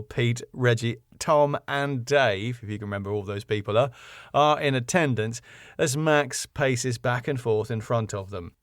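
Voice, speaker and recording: A man reading the stage directions of his own sitcom script in a brisk, matter-of-fact way. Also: medium pitch, dry recording, studio quality.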